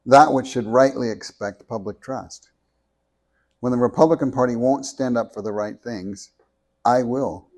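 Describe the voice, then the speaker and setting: A soft-spoken man reading a prepared talk into a handheld microphone at a meeting, measured and deliberate, with small restarts. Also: medium pitch. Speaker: speaker at a meeting. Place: meeting room with an audience.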